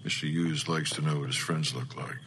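Very deep-voiced, terse official. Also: male voice, steady tone, a little fast, background noise. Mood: measured, insistent. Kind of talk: radio drama acting.

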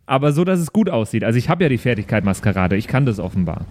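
A man's voice: mid-range voice, sing-song, rapid-fire, darkish tone. A man chatting casually as a player in an improvised tabletop game.

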